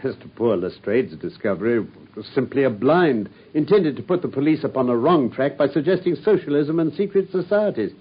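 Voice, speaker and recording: Self-assured Victorian detective lecturing, husky tone, lively pitch, dull old radio sound. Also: male voice, a little fast, hardly any pauses. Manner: crisp, confident, lecturing. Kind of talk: radio drama.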